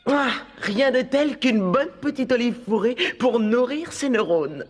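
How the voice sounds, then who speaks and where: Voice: mid-range voice, expressive, measured pace, natural voice. A performer voicing a self-important, solitary schoolboy in a French cartoon dub, talking pompously to himself. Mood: smug. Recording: music playing behind the voice.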